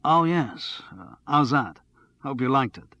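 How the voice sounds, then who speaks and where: A man voicing an affable, assured male radio presenter in an audiobook, with clear professional charm. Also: mid-range voice, expressive, takes it slowly, pushed and bright, no room sound, highs cut off, heavily acted. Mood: modest, affable.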